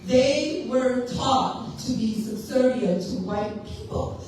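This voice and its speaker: Loud, emotional woman in an echoey hall. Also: on the high side, slow. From South Africa. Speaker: school psychologist speaking as an audience member. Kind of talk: impassioned public comment. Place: large public hall. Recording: echoey hall, voice from far off, faint noise.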